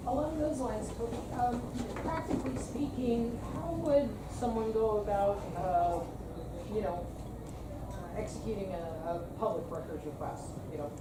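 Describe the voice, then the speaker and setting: A woman's voice: medium pitch, unhurried. A woman in the audience casually asking a question at a meeting. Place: room at a live meeting. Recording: echoey room, distant mic, loud noise.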